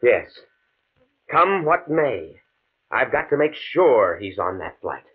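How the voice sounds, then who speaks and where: A man's voice: mid-range voice, sing-song, slow pace, breathy edge, heavily acted. A man playing a determined, fatalistic professor in a 1950s radio drama, speaking in a grave, precise way. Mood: grimly determined. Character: desperate, determined male professor, coolly fatalistic scientist. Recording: muffled, band-limited old radio recording.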